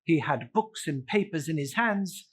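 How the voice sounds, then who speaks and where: A man giving a theatrical, deliberate dramatic narration of a classic story. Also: a little high, expressive, husky. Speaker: narrator, reciter.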